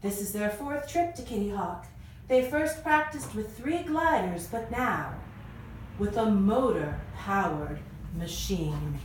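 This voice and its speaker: A woman's voice: medium pitch, animated, takes it slowly, slight background noise. A woman reading scripted storytelling narration as the narrator of a radio play. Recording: roomy echo, distant mic, music behind.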